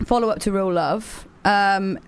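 A woman's voice: medium pitch, measured pace. A woman hosting a casual interview, chatty and bubbly, asking questions. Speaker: radio host.

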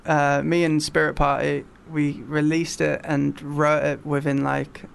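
A young man with a broad Yorkshire accent and a gravelly, creaky edge to his fairly high voice. He chats loosely as an interview guest, stumbling over restarts and fillers as he goes. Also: level tone. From Yorkshire, England. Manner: halting, many fillers and restarts. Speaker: singer-songwriter guest. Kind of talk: casual interview chat.

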